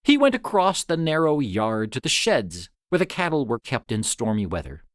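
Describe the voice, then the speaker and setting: A man reading an old tale as scripted narration, in a dramatic, expressive storytelling manner. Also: mid-range voice, moderate pace, dry recording, clean studio sound. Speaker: story reader.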